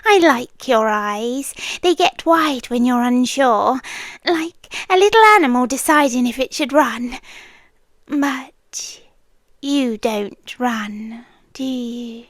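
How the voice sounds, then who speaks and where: A British woman playing a possessive, doting and controlling yandere landlady in an intimate ASMR roleplay, breathy and coaxing, often dropping to a whisper. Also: fairly high pitch, takes it slowly, no echo. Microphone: close ASMR microphone, dry.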